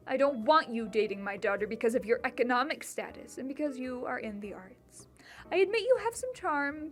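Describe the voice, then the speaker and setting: A woman playing a haughty middle-aged upper-class mother in a dramatic monologue, condescending and deliberate. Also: a little high, fairly bright tone. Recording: music bed behind voice.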